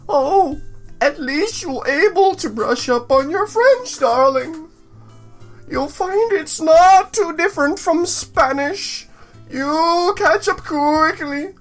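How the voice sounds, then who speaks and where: A woman voicing a doting older female professor in a visual novel, with a theatrical, drawn-out, affectionate manner. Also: very high voice, expressive, faint music behind, pitched above the performer's own voice, full character voice. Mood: encouraging.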